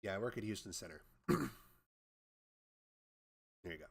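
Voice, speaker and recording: A man with a lowish voice talks casually as he reads and answers viewer messages. He sounds like a working air traffic controller, informal and unscripted, reasoning through things out loud. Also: expressive, moderate pace, vocal fry. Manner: informal, thinking aloud, imitates beeps and radio calls.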